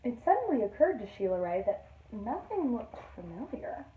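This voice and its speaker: A woman teacher reading a picture book aloud to young children in an expressive storytelling manner. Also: on the high side, moderate pace, narrow, tinny audio. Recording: roomy echo, distant microphone.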